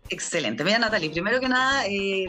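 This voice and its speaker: A woman's voice: mid-range voice, lively intonation, fairly quick, sharp tone, soft background music. Podcast host: woman, mid-range voice, friendly, asks questions with small hesitations. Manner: friendly, some filler hesitations. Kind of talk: podcast interview.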